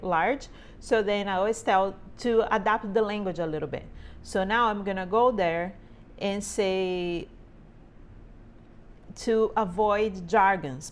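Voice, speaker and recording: A woman's voice: medium pitch, slight background noise. A woman in her forties from Brazil with a Brazilian Portuguese accent, speaking English as a second language, chatting informally to camera from her sewing studio and often checking in with "right?". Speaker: sewing teacher and small business owner. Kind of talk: casual tutorial talk to camera. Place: home sewing studio, indoors.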